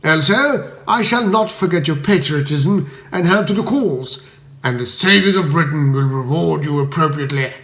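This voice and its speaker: A man's voice: a little high, normal speed, a bit bright, reverberant. A man voicing a pompous, domineering fascist lord in a comic audiobook, declaiming in a blustering manner. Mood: pompous satisfaction.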